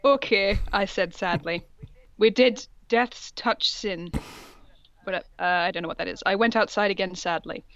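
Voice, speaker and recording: A woman's voice: mid-range voice, moderate pace, vocal fry, a bit bright, no room sound, dull audio. A woman co-hosting a podcast, reading fan fiction aloud in a mock-dramatic way and breaking off for amused asides. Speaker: podcast co-host.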